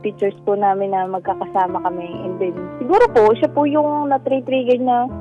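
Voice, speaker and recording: A woman's voice: a little high, level tone, normal speed. A polite, hesitant woman with a Filipino accent telling her own story as a caller to a radio show over a lo-fi phone call. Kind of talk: casual personal account. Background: faint music.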